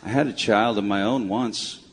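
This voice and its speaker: An actor playing a weary, bitter old retired broadcaster in a live radio play, with a curmudgeonly, dismissive manner. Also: male voice, fairly low pitch, moderate pace, husky. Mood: somber, withdrawn. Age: older. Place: town hall stage before a live audience. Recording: noisy live hall, long reverb.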